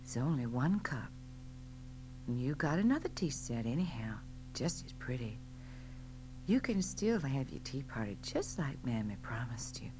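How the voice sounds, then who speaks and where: An old Southern mammy, acted by a woman narrator, low in voice, folksy and crooning. She soothes and scolds with devotion, as in a storybook read aloud, over a noisy recording with hum. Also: big pitch swings, a little slow, heavily acted. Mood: soothing. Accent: American South. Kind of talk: dramatic audiobook character acting.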